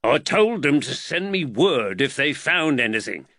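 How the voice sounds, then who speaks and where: A man's voice: medium pitch, big pitch swings, a little slow, bright, forceful tone, no echo, studio quality, pitched above the performer's own voice, full character voice. A man voicing a no-nonsense period London police constable, blunt and growling, in a storybook. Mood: gruff. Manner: blunt, gruff.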